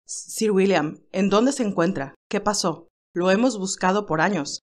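A woman's voice: medium pitch, measured pace, lightly acted. A woman voicing a loyal, anxious male assistant in an audiobook, formal and deferential. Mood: anxious concern.

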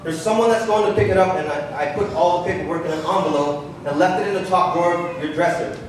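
In a very reverberant hall, a young actor as an anxious, patient son explains himself earnestly. His voice is on the high side, picked up from a distance in a staged reading. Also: fast talker, natural voice. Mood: insistent. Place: large glass-walled public hall, on stage. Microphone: handheld. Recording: echoey hall, distant stage microphone, faint noise.

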